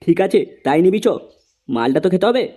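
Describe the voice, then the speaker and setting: A man's voice: sits high, big pitch swings, fairly quick, background noise. A man voicing a bossy, foul-mouthed, irritable young man in a comedy cartoon. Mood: grudging. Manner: scolding, crude.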